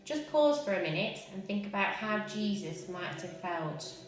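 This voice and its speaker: A woman's voice: medium pitch, animated, moderate pace. Woman reading a story in an echoey room. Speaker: story reader. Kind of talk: reading a story aloud to children. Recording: very echoey room with faint music.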